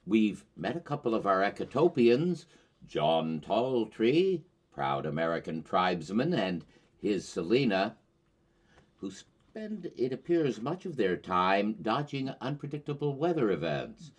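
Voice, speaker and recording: A man hosting a show, delivering a scripted commentary monologue in deliberate, rhetorical phrases with dramatic emphasis. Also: on the low side, sing-song. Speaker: host of a climate commentary show.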